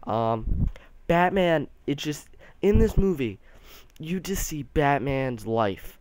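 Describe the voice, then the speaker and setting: A man's voice: fairly high pitch, animated, takes it slowly, darkish tone, no room sound. A young man giving a casual, rambling movie review, sounding a bit nasal from allergies, with many restarts.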